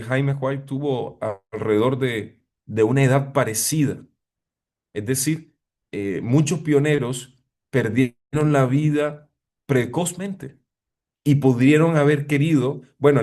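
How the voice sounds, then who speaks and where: A middle-aged man preaching a reflective sermon as a pastor, pausing often with fillers. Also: medium pitch, darkish tone. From abroad, living in Chile 20 years. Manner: reflective, hesitant with frequent fillers.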